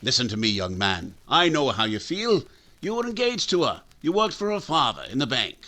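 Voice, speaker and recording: An actor playing a firm, protective small-town doctor with an authoritative manner, in old-time radio style. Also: male voice, mid-range voice, sing-song, moderate pace, sharp tone. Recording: faint noise, clicks.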